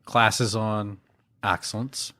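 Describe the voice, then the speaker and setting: A man's voice: fairly low pitch, flat delivery, extremely slow, pushed and bright. A man co-hosting a true crime podcast, cracking jokes and giving easygoing replies. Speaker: podcast co-host. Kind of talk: casual podcast banter.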